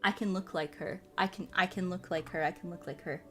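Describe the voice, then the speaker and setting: A woman's voice: on the low side, fairly even intonation, a little fast, fairly bright tone. A woman livestreamer chatting casually in a playful manner, often repeating her phrases for comic effect. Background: background music.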